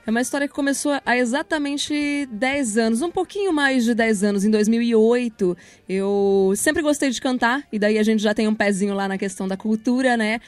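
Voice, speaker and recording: A woman's voice: fairly high pitch, normal speed, dry recording, soft background music. A woman, speaking articulately and informally as a guest in a conversational interview. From Paraná, Brazil. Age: 30s. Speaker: interview guest, radio announcer and journalist.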